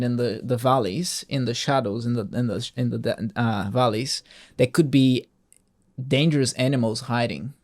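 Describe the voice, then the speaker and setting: Man gently explaining to a child. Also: mid-range voice. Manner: simple explanations with frequent check-in questions. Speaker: host, parent teaching a child a Bible passage. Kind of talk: casual Bible talk with a young child.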